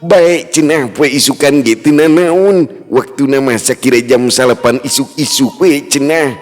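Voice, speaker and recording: A man's voice: medium pitch, unhurried, a little mellow, pitched above the performer's own voice, close to an everyday voice. A man voicing a forgetful old village man who rambles and corrects himself. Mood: flustered. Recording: reverb and faint music.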